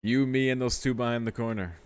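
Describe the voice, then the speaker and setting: A man's voice: medium pitch, steady tone, a little fast, smooth. A man hosting a let's play, reading a visual novel aloud with informal, amused commentary. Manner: amused, sweary, informal asides.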